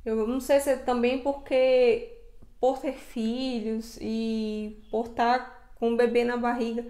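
Brazilian female vlogger, slightly high register, conversational and colloquial, roomy indoor sound. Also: level tone, slow pace, dark tone. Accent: Brazilian Portuguese. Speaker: travel vlogger. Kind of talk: casual chat to camera. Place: indoor living room, seated on sofa. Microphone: lapel mic.

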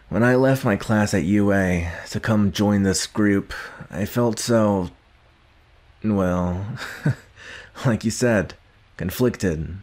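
Man acting a low, slow-talking gruff young villain. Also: darkish tone. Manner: halting, sighing, grudgingly tender. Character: gruff young male villain, guarded but secretly caring. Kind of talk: ASMR roleplay addressed to the listener.

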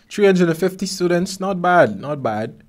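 A man's voice: high-pitched, lively intonation, measured pace. A young man from Guyana with a mixed Caribbean accent, hosting a podcast interview in a small studio on a desk mic, joking and laughing easily. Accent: Caribbean English, mixed Guyanese and Bahamian. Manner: joking, laughs often, easygoing. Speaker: podcast host. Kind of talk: casual interview chat. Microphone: studio mic on stand.

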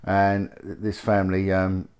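A middle-aged man with a slight cold, speaking in a somewhat low voice. He talks haltingly, with many ums and restarts, as he introduces a guest. He is recorded close on a lapel mic in a living room. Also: monotone, a little mellow, clear, resonant tone. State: has a cold. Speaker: interviewer, former police detective. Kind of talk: sit-down interview.

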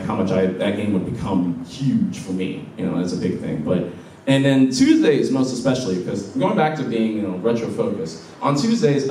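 A man's voice: on the low side, dark tone, a little hiss or hum. Chatty guy at a convention panel, lots of likes and you knows, roomy far-off sound. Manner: chatty, enthusiastic, lots of fillers. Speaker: streamer giving a convention panel. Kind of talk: casual panel talk. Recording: echoey hall from far off.

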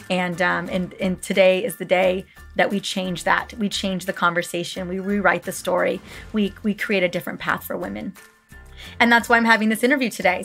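A woman hosting a podcast interview, her voice raspy and hoarse from a book tour, talking in an effusive, gushing way. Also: mid-range voice, pushed and bright. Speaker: podcast host.

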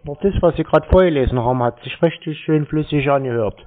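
A man's voice: on the high side, quick pace. A man in a phone conversation with cold callers, speaking in a sardonic, confrontational way. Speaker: call recipient confronting scam callers. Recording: muffled, narrow phone-call sound.

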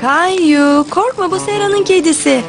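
A woman voicing a gentle, caring young mother in a children's cartoon, patient and encouraging. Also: extremely high pitch, measured pace, music in the background. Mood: patient, cheerful. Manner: patient, encouraging, simple clear phrasing.

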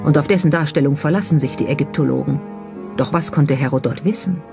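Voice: mid-range voice, fast talker, gravelly. A narrator delivering a scripted documentary voice-over. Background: background music.

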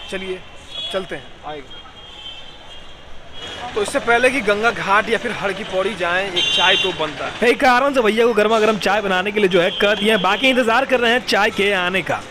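A man's voice: high voice, background noise. A young man in his twenties talking casually to viewers while travelling, filming himself on a phone held at arm's length. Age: 20s. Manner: chatty, addresses viewers directly. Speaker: travel vlogger. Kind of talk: casual travel vlog narration. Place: outdoors at a station and in a small hotel room. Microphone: phone camera held at arm's length.

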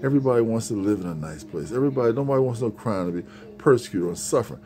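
A man's voice: fairly low pitch, animated, very fast, dark tone. A middle-aged man giving an informal, earnest sermon on prayer, talking into a phone held at arm's length in a small shelved room. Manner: earnest, repetitive, conversational preaching. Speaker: preacher. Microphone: phone camera at arm's length.

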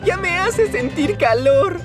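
A woman's voice: extremely high pitch, lively intonation, slow, music in the background, exaggerated voice. A woman voicing a good-natured male steam engine brother in a cartoon dub. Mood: warm.

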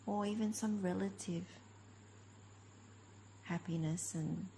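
A woman leading a guided meditation as a teacher, speaking gently in short, unhurried phrases with long pauses. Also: lowish voice, steady tone, a little hiss or hum, poor audio. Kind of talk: guided meditation, slow spoken instruction.

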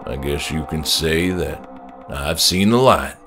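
A man's voice: very deep voice, lively intonation, measured pace, a bit bright, soft background music, voice pushed down, exaggerated voice. A man voicing a stubborn, devout middle-aged father with a folksy, stern, preachy manner in an audiobook. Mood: calm conviction.

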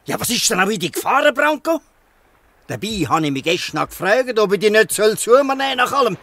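Radio play casting: old Swiss German fisherman, gruff and blustering, high-pitched, bright and forceful. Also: big pitch swings, brisk, heavily acted. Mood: disappointed, reproachful. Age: older. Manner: blustering, ends taunts with a questioning "hä". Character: gruff old fisherman, scolding, shrewd, softening. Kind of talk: dialect radio play acting.

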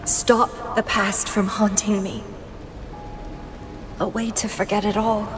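An actress voicing a proud, obsessive young female warrior in a video game, with a theatrical, brooding, deliberate delivery. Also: mid-range voice, flat delivery, noisy background, natural voice. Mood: resolute, wistful. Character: proud, obsessive young female warrior, battle-hungry, fighting her own decline. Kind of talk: dramatic video game voice acting. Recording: long reverb, music behind. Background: game music.

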